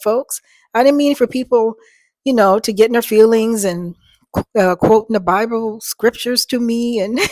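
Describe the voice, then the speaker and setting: A woman in her fifties speaking in a soft, slightly worn voice that doesn't carry far. She rambles earnestly at a middling pitch, addressing her viewers as folks. The sound is close and dry, picked up by a small microphone. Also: no echo. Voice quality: soft voice that does not carry. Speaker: vlogger. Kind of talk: casual talk to viewers.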